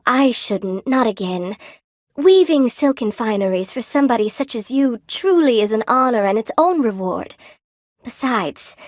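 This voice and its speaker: A woman's voice: medium pitch, a little mellow, no echo, studio quality. Wistful, courteous spider woman, closely recorded. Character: wistful, courteous spider woman, cursed weaver, proud yet lonely. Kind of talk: video game character dialogue.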